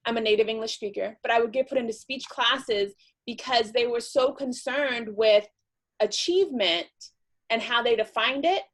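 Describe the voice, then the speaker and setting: A woman's voice: fairly high pitch, normal speed, a bit bright. A woman from East Los Angeles speaking sincerely and reflectively as a panelist on a video call. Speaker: webinar panelist. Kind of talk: earnest panel remarks.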